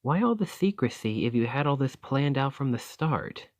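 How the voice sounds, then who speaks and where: A man's voice: mid-range voice, animated, moderate pace, no echo, clean studio sound, lightly acted. A man playing a roguish bandit leader in an ASMR roleplay, teasing and grudgingly impressed, with folksy turns of phrase. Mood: puzzled, humbled. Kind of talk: ASMR roleplay acting, one-sided dialogue.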